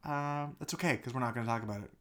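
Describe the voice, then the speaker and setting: A man co-hosting a chatty podcast, joking and teasing his co-host in quick banter. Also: medium pitch, fairly bright tone. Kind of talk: casual chat.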